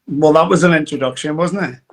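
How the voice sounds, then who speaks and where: A man's voice: fairly high pitch, brisk. A man in his forties from Derry with a Northern Irish accent, a guest telling his story in a rambling way, full of fillers and restarts. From Derry, Northern Ireland. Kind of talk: conversational interview.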